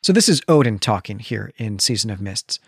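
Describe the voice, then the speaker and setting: A man's voice: fairly low pitch, animated, brisk, fairly bright tone, slightly rough, no echo, clean studio sound. A man co-hosting a podcast, casually recapping a comic storyline and asking his co-host questions. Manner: explanatory, recapping a story with fillers.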